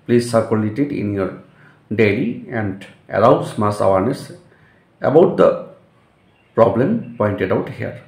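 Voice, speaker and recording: A man from West Bengal with a Bengali Indian accent, a schoolteacher reading out a lesson in deliberate, emphatic phrases. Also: on the low side, expressive, darkish tone. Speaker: school English teacher. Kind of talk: instructional lesson, reading a model letter aloud. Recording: echoey room.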